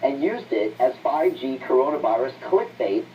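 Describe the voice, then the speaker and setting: A man's voice: mid-range voice, animated, normal speed. A man talking as an interview guest, earnest and emphatic, repeating phrases for weight, heard on muffled playback. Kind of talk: impassioned interview talk. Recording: distant, muffled, noisy playback through a speaker.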